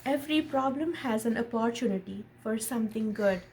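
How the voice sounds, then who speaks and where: High-voiced woman reading aloud, noisy background. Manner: expressive reading, pausing to explain and ask questions. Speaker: parent reading a picture book aloud to their child. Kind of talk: read-aloud storytelling with casual asides to a child and viewers. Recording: noticeable background noise.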